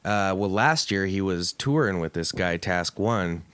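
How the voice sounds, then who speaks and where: Male podcast host, low register, crude joking chat, close dry sound. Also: lively intonation, unhurried, a bit bright, clear, resonant tone, dry recording.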